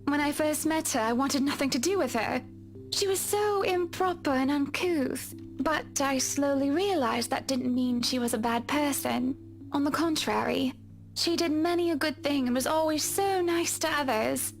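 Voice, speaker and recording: A woman's voice: high voice, normal speed, faint music behind. An actress voicing a poised, genteel wealthy woman in a visual novel, speaking in a refined, prim way. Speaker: game character. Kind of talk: voiced visual novel dialogue.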